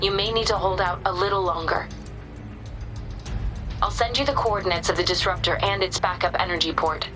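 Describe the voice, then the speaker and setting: An actress playing a calm, precise researcher in a video game, giving a composed, formal report over music. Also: female voice, medium pitch, fairly quick, pauses often, lightly acted. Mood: calm, earnest. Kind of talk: video game cutscene acting. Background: background music.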